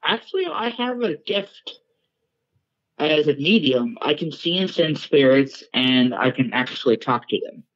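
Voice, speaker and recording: A man giving casual interview answers as a guest, halting and plain-spoken. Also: a little high, lively intonation, fairly bright tone, dull audio. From born in Norway, raised in Newfoundland, Canada. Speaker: guest, paranormal investigator and medium.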